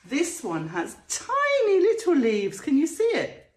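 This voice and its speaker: A woman's voice: sits high, huge pitch swings, a little slow. A woman presenting a show-and-tell lesson for young children, talking to them directly in a sing-song way and stretching out key words. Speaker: children's storytime presenter and teacher. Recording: roomy echo.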